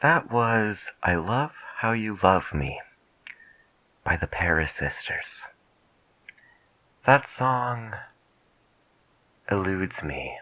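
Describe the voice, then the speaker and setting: A soft-spoken man playing a late night radio DJ in an ASMR style, talking in a measured, reflective, hushed manner close to the mic. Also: fairly low pitch, sing-song, no echo.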